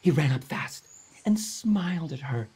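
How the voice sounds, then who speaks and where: A man giving a theatrical, expressive read-aloud of a rhyming children's story. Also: a little high, measured pace, slight background noise. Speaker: storybook reader.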